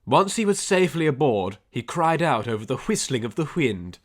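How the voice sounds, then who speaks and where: A man's voice: medium pitch, sing-song, normal speed. A man reading a scripted adventure story as an audiobook narrator, with dramatic delivery.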